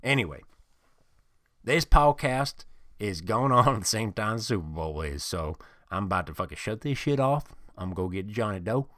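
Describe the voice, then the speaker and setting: A loud, profane, swaggering middle-aged football fan, as a man puts him on, ranting from the couch in a lowish, forceful, bright-edged voice. The recording is dry and close. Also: lively intonation, normal speed, no room sound. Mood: blustering. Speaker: podcast host in character. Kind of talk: improvised comedy character monologue.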